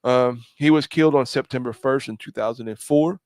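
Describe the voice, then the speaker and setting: A man telling stories casually on a podcast, often halting and searching for his words. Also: mid-range voice, a little mellow, dry recording. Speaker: podcast co-host. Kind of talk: casual storytelling on a live podcast.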